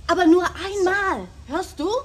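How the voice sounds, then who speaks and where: An actress playing a young club stripper, placating and coaxing, in a radio crime drama. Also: female voice, very high-pitched, measured pace. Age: young adult.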